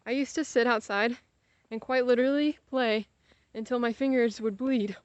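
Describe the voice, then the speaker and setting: A woman's voice: fairly high pitch, moderate pace, darkish tone, no room sound, dull audio. A young American woman chats casually while walking outdoors, rambling with playful exaggeration and easily sidetracked. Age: young adult. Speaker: vlogger.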